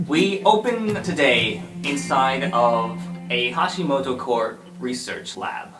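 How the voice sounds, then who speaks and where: Animated game master in a roomy space. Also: mid-range voice, takes it slowly. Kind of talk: narrating an improvised tabletop superhero game. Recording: roomy, distant mic, music behind.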